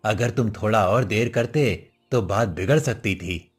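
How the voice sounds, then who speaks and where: A man voicing a calm, dutiful village healer, measured and reassuring, in a cartoon story. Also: lowish voice, slightly rough.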